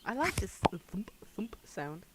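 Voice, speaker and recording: A woman's voice: mid-range voice, very animated, takes it slowly. Woman, danish accent, gaming streamer, chatty and laughing, hissy background noise. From Denmark. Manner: chatty, laughs often, talks to chat while playing. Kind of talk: casual livestream commentary.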